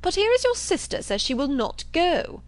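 A woman voicing a loud, brash, boastful young man with a blustering, impatient delivery in a storybook reading. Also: very high voice, big pitch swings, normal speed, no echo, pitched above the performer's own voice. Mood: boastful, scoffing. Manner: blustering, emphatic exclamations.

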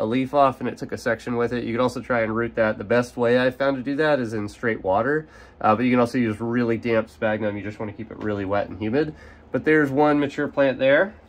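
A young man with a slightly nasal voice from a cold, casually explaining how he divides and repots plants, recorded outdoors by an overhead camera. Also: medium pitch. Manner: relaxed, explanatory, casual with fillers. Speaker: carnivorous plant grower. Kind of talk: hands-on gardening demonstration. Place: outdoors in sunlight at a potting table. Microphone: camera overhead, speaker off frame.

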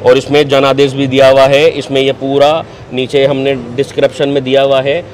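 A man's voice: mid-range voice, flat delivery, moderate pace, darkish tone, slight background noise, poor audio. Earnest middle-aged salesman at an expo. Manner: salesy, hesitant fillers, earnest assurances. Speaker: company representative. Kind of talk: product explanation in interview. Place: busy indoor expo booth.

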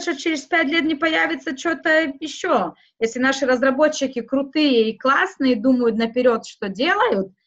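A woman whose first language is not Russian, speaking haltingly in a casual interview, with many fillers and restarts. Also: high-pitched, muffled recording. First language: not Russian; schooled outside Russian. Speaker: interview guest.

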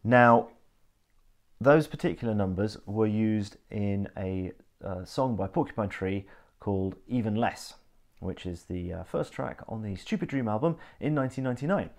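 A man's voice: on the low side, moderate pace, darkish tone. A man in his thirties talking casually to camera in a living room, explaining things in a geeky, thorough, hesitant way. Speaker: host of a video channel. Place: living room indoors, on a sofa.

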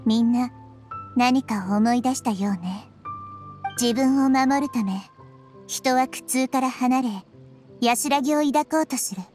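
Young girl deity, high voice, wise, measured, gently reproving, game dialogue. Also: faint music behind. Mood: composed, explaining. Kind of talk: scripted Japanese game voice acting.

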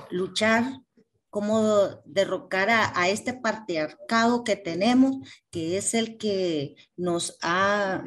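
Slow, hesitant woman activist. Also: mid-range voice. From Nicaragua. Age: middle-aged. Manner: hesitant, with frequent tag questions. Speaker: activist speaker at a meeting. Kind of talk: halting informal political speech. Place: small indoor office, on a video call.

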